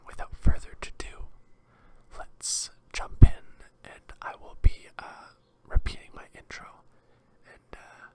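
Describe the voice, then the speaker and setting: An ASMR host from Quebec with a Canadian accent, rambling in a halting, hesitant whisper. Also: dark tone.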